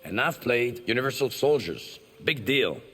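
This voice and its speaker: A brash action star in a game, breezy and mocking. His mid-pitched voice bounces expressively in a long reverb. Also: big pitch swings, normal speed, a bit bright. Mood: cheeky. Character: brash, cheeky, wisecracking male action star. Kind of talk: video game fight-intro banter.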